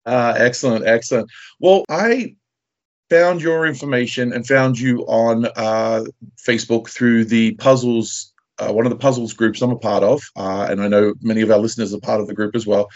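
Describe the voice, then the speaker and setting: A man's voice: mid-range voice, normal speed. Man, podcast host, conversational interviewing, mid-range voice, slightly lo-fi recording. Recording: slightly dull, lo-fi audio.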